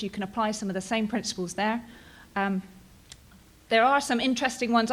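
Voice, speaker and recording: A woman's voice: a little high, fairly bright tone. British woman giving a chatty conference talk. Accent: British English. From England, Bristol. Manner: casual and chatty, frequent ums and tag questions. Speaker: postdoctoral researcher presenting. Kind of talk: conference presentation.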